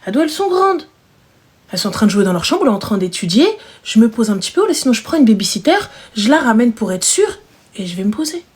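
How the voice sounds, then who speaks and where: A woman's voice: a little high, sing-song, quick pace, husky. A single mother hosting a live stream, chatting casually and emphatically with slangy speech and repeated phrases.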